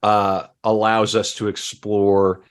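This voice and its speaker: Male podcast host, lower register, wry and joking, conversational with stop-start pauses. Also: little pitch movement, smooth. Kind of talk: casual podcast talk.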